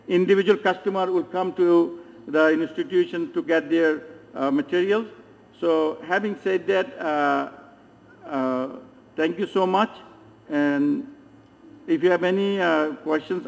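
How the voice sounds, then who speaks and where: A man's voice: on the high side, creaky, darkish tone. A man, a former professor, giving an earnest, emphatic conference presentation, with some hesitations. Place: large conference hall. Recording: long reverb of a large hall.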